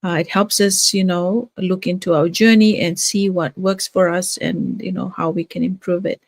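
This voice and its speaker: A woman's voice: on the low side, fairly even intonation, normal speed, dry recording. An older woman from Fiji, a grandmother, hosting a casual live stream with many fillers and restarts. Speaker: live-stream host, nurse and business owner.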